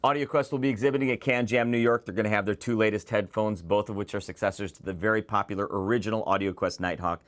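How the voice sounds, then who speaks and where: Low-voiced man presenting gear, enthusiastic. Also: steady tone, fast talker, few pauses. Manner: enthusiastic, emphatic, direct address to viewers. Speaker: host and presenter of a headphone show. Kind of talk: scripted product preview to camera.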